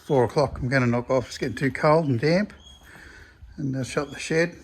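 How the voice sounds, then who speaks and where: An Australian man talks his way through a day's work in his shed, his voice croaky and a little rough. He rambles in a relaxed, chatty way and pokes fun at himself. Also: medium pitch, moderate pace. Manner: rambling, chatty, self-deprecating. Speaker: car restoration vlogger. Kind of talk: casual workshop commentary. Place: home shed.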